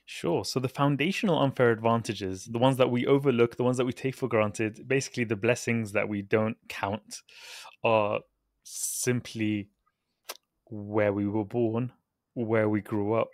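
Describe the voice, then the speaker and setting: A man's voice: mid-range voice, no echo, studio quality. A man, explaining casually as a podcast guest. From London, England; born in Baghdad. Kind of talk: casual explanatory interview talk.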